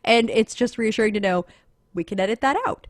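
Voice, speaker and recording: A woman's voice: a little high, fairly quick, dry recording, studio quality. A woman podcaster on a live panel, explaining things conversationally as she thinks aloud.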